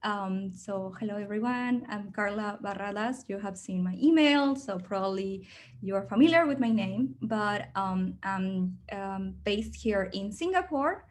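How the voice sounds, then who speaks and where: A woman's voice: mid-range voice, animated, unhurried, slight background noise. A woman from Mexico with a strong Mexican accent, hosting an onboarding video call in an upbeat, chatty way with many fillers. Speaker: program host. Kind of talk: casual video call presentation.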